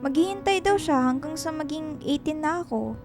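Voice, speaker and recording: A high-pitched, cheerful teenage little sister. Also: female voice, moderate pace, music in the background. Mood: bashful. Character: Agatha, cheerful, bashful sixteen-year-old little sister. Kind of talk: radio drama dialogue.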